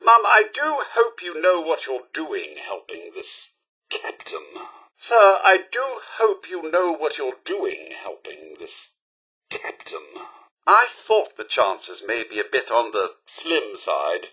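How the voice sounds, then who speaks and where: British robot butler, video game companion, prim, fussy, courteous, theatrical, higher-pitched, swooping intonation. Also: male voice, huge pitch swings, takes it slowly, pushed and bright, slightly rough, heavily acted. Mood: fussy concern.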